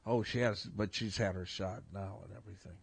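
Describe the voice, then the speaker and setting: A man's voice: lowish voice, fairly even intonation, brisk, gravelly. An older man hosting a casual radio sports talk show, congested and talking in a rambling, chuckling way. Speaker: radio host. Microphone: studio broadcast mic.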